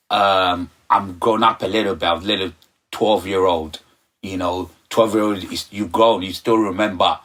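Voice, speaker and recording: A middle-aged man from Ghana, his voice low and husky. He rambles through his answers, circling back with "you know" and "like I said.". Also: a bit bright. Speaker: sound system operator, guest. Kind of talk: relaxed interview chat.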